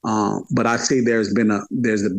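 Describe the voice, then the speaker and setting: A man's voice: fairly low pitch, flat delivery, normal speed, vocal fry, no echo, rough recording. A middle-aged man chatting casually in an interview, repeating phrases for emphasis. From Atlanta, United States. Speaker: podcast guest, hip hop podcaster.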